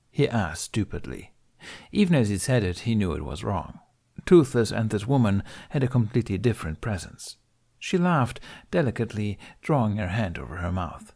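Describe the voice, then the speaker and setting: A man reading an audiobook story as narrator, in expressive scripted narration. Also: fairly low pitch.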